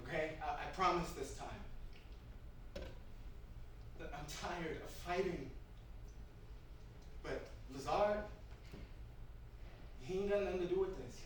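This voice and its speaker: Man acting a defensive younger brother, high-voiced, echoey. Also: unhurried, pauses often, natural voice. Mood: weary, pleading. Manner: emotional, pleading, profane vernacular. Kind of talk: dramatic staged reading. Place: echoey room at a live staged reading. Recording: roomy, distant mic, faint noise.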